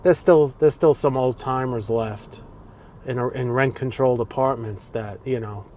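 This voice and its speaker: A man's voice: mid-range voice, measured pace, mellow. A man in his sixties with a New York accent, chatting casually from his car in city traffic, opinionated and rambling. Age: 60s. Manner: opinionated, rambling, grumbling asides. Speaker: vlogger. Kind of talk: casual chat while driving. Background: faint traffic noise.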